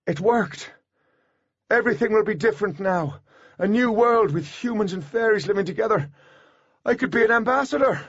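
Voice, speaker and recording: A self-assured teenage boy genius from an audiobook, played by a man, speaks in a raised, slightly husky voice. His words are formal, precise and intense, and the recording is a little dull. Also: sits high, measured pace, long pauses, dry recording, pitched above the performer's own voice. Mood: elated. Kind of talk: audiobook character voice.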